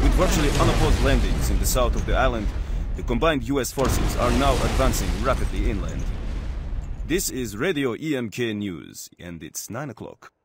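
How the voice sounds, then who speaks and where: A formal male radio newsreader reads a war bulletin in a video game. His voice is fairly low and husky, with measured authority. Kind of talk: scripted radio news report.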